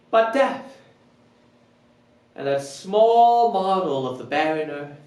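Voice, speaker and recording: A man performing a deposed, bitterly grand king in a theatrical Shakespearean verse monologue, declamatory and drawn-out, swinging between hushed and ringing. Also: a little high. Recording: roomy echo, distant microphone.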